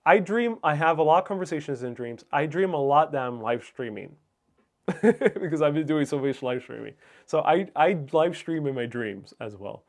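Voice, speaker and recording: A man's voice: fairly high pitch, lively intonation, moderate pace. A man whose first language is Spanish, hosting a livestream in a casual, musing, somewhat hesitant way as he reads and answers viewer comments. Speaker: livestream host.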